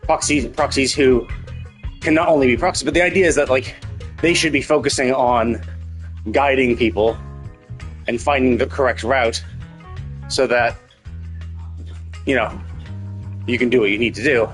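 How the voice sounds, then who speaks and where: A man's voice: medium pitch, fairly even intonation, normal speed, long pauses. Man casually rambling over background game music. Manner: rambling, opinionated, repeats himself, casual swearing. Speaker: live streamer playing a story game. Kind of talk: casual commentary on game story.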